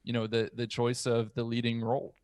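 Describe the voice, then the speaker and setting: A man's voice: medium pitch, monotone, fairly quick, darkish tone, clear, resonant tone, dry recording. An American man in his thirties hosting a casual podcast discussion. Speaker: podcast host. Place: home room. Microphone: close boom-arm podcast mic.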